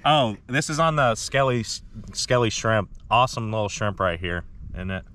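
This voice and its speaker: A young man hosting a fishing vlog, chatting casually and playfully with excited exclamations while wading outdoors. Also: lowish voice, normal speed, a little hiss or hum. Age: young adult. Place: outdoors wading in open shallow water. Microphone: action camera, handheld or body-worn.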